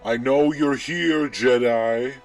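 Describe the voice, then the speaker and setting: A man playing a menacing, commanding Sith lord in a sci-fi audio drama, speaking in a measured, imperious way with a cold, taunting edge. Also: mid-range voice. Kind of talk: scripted sci-fi audio roleplay acting. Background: background music.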